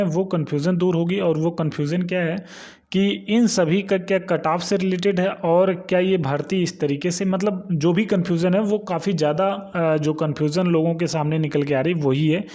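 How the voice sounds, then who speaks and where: A man's voice: high voice, mellow. A man giving an informal, instructional explainer, repeating points and often checking that listeners follow. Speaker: exam-news channel host. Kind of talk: informal explainer of recruitment notices.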